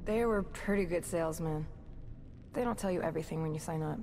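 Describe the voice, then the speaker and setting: A woman's voice: deep voice, fairly quick, darkish tone, in a large hall, slight background noise. A woman playing a tough, candid female agent in a video game, matter-of-fact and wry. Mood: regretful.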